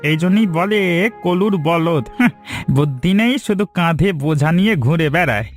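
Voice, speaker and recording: A man's voice: a little high, animated, normal speed, lightly acted. A man playing a lazy, gluttonous brother in a cartoon, easygoing but scornful. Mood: carefree, scornful. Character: lazy, gluttonous, carefree brother who sneers. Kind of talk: Bengali cartoon story acting. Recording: clear music under the voice.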